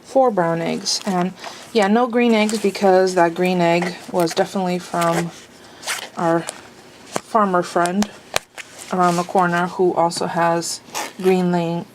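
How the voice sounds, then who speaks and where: A woman's voice: on the low side, level tone, slow, background noise. A woman vlogging at home in a casual, rambling way, a little sniffly and full of fillers. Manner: rambling, chatty, many fillers. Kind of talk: casual chat. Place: home, indoors.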